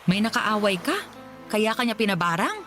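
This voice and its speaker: A woman playing a caring, devout aunt in a radio drama, asking and coaxing gently. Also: medium pitch, lively intonation, normal speed, faint music behind, lightly acted. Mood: concerned. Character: concerned, devout aunt of a sick young woman. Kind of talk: Filipino radio drama dialogue.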